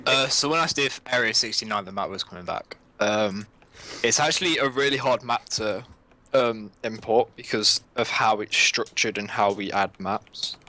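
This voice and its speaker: Bright, slightly low man, relaxed co-host explaining server details, keyboard clacking behind. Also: normal speed. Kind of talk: casual chat answering questions.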